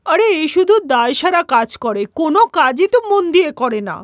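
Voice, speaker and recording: A woman's voice: high-pitched, lively intonation, a little fast, dry recording, clean studio sound. Haughty young office-going daughter-in-law, a woman doing her, cartoon dub. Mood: snide. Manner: snappy, demanding, dismissive.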